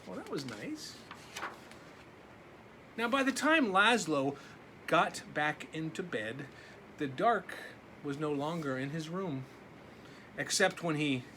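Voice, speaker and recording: A man reads a picture book to young children in an animated, slow delivery with dramatic pauses. His voice swings widely in pitch, and faint noise runs behind it. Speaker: children's story reader, teacher. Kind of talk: expressive read-aloud for young children.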